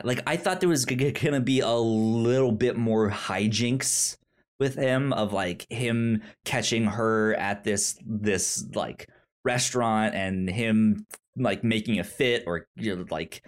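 A man co-hosting a podcast, chatting casually about film in a halting way, often stammering and repeating the starts of words. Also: lowish voice. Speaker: podcast co-host.

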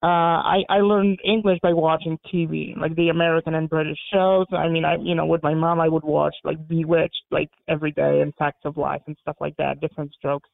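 High, smooth-voiced man from Poland. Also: little pitch movement, dry recording. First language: Polish. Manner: halting, many repeated words and restarts. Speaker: guest. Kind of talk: casual interview talk. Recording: phone-like narrow band.

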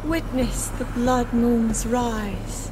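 Solemn fantasy game oracle lady, high voice, super slow n ominous, big music behind. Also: steady tone. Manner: solemn, portentous, slow delivery. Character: solemn female narrator foretelling monsters' return in fantasy game. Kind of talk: dramatic video game voice acting. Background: loud music.

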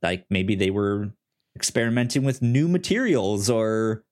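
A man co-hosting a casual podcast discussion, speaking haltingly and often repeating syllables and words in a stutter-like way. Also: on the low side, sing-song. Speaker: podcast co-host.

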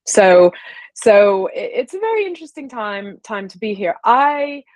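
A woman from the American South, a guest speaking casually and thoughtfully in an interview, pausing with fillers and restarts. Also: medium pitch, big pitch swings, darkish tone, dry recording. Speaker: guest historian.

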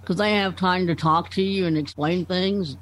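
A man with a high-pitched voice speaks conversationally. He gives practical advice as a participant in a group chat. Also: steady tone, normal speed, a bit bright, rough recording. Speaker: club participant. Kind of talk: casual advice.

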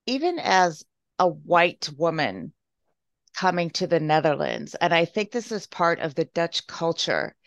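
A woman's voice: on the low side, very animated, unhurried, creaky, dry recording. A woman from the United States hosting a podcast, asking long, rambling, effusive questions in casual conversation. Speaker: podcast host. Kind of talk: casual interview.

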